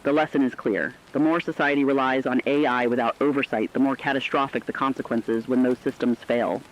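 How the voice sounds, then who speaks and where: A man voicing a serious radio correspondent, giving scripted crisis analysis in a formal, earnest, measured way. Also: medium pitch, steady tone, a little hiss or hum, close to an everyday voice. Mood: serious, concerned. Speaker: news correspondent. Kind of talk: scripted emergency radio news report. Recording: dull lo-fi radio broadcast sound.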